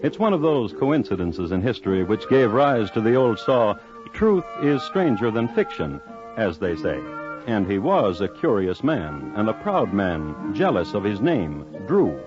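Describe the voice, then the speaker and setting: A man's voice: lowish voice, big pitch swings, measured pace. A man narrating an old-time radio crime drama as a wry, formal storyteller. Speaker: narrator and host. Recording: dull lo-fi old radio recording. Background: music.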